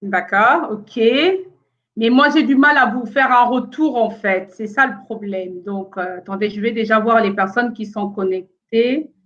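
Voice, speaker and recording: A woman's voice: on the high side, a little slow, fairly bright tone, highs cut off. A woman from France of Congolese origin hosts a casual live stream from home, hesitant and full of fillers while she fights sound problems.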